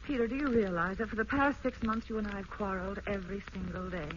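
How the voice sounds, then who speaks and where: An actress playing an unhappy, earnest young housewife who pleads and hesitates, in 1940s radio drama style. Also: female voice, medium pitch, fairly even intonation, quick pace, lightly acted. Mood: unhappy, pleading. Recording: muffled, hissy old radio broadcast.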